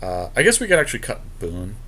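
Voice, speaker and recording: Low-voiced man thinking aloud, slight background noise. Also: huge pitch swings, fast, pushed and bright. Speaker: podcast co-host. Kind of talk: casual chat, thinking aloud through a card game draft.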